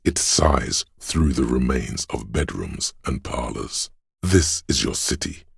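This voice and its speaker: Very deep, gravelly brooding city spirit. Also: male voice, animated, no room sound, studio quality, full character voice. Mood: somber. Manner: slow, hushed, ominous, solemn. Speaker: game voice actor. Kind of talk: poetic second-person game narration.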